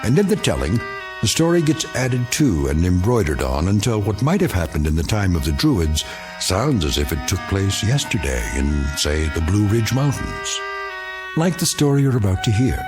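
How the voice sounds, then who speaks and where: An American man giving measured, portentous scripted narration for a radio drama. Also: sits low, big pitch swings. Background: music.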